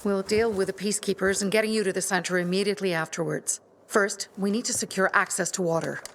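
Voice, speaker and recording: A woman's voice: mid-range voice, fairly even intonation, fast, close to an everyday voice. An actress playing a stern, embittered young female rebel leader in a video game, firm and earnest. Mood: earnest, persuading. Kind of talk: video game dialogue acting.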